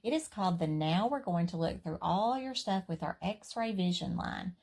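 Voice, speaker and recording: Woman storyteller, slightly low and husky, playful and expressive, reading a children's chapter book aloud. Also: normal speed.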